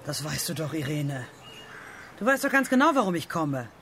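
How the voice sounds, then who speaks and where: Eine Schauspielerin als spöttische, bedrohliche Erpresserin in einem Hörspiel, anzüglich und theatralisch. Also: female voice, deep voice, sing-song, normal speed, fairly bright tone, exaggerated voice. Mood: insinuating. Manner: mocking, insinuating, theatrical. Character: taunting, menacing female blackmailer. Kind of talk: radio drama acting. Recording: light background ambient noise.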